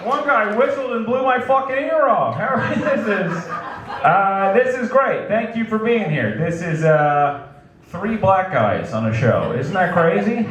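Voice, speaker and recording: A man's voice: high voice, expressive, a little slow. A man in his thirties doing a stand-up set, loose and self-deprecating, chuckling at his own jokes. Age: 30s. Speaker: stand-up comedian. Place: comedy club stage. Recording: echoey club with loud crowd. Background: laughing crowd.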